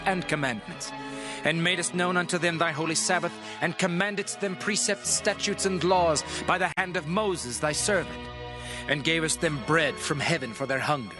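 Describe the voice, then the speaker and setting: Man acting a solemn Levite, fairly high voice. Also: close to an everyday voice. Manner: reverent, declamatory. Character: Levite solemnly recounting God's deeds in prayer. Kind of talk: dramatized scripture reading. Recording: music behind.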